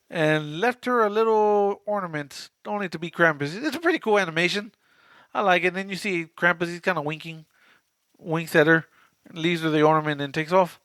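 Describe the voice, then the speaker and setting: A young man, of Cuban background, retells a story on a podcast in a loose, offhand way. His voice is bright and smooth, a little high, and recorded dry and close. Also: dry recording. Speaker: podcast co-host. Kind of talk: casual chat.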